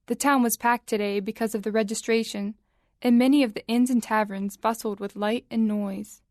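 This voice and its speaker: A woman narrates a dramatic tale in a slightly high voice. Her delivery is measured and suspenseful, recorded close and dry. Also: steady tone, a little mellow, no room sound. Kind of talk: scripted story narration.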